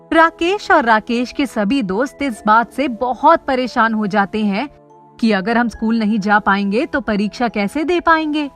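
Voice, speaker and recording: A woman's voice: fairly high pitch, a bit bright. A woman reading a scripted children's story as its narrator.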